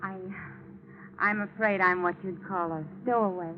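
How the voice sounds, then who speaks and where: A woman's voice: medium pitch, steady tone, normal speed, lightly acted. An actress playing a poised, saucy female stowaway with a cool, polite manner, in 1940s radio style. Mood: apologetic. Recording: tinny, hissy 1940s radio recording.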